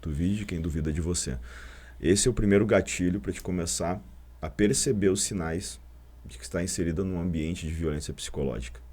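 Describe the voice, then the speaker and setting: A man's voice: sits low, a little fast, darkish tone, husky. A man, a podcast guest, speaking earnestly and with emphasis. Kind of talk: podcast discussion.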